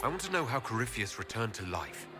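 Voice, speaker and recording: A man's voice: mid-range voice, very animated, fast, pushed and bright, faint music behind. An actor playing a resolute male warrior leader in a fantasy video game, speaking directly and with command. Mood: serious, determined.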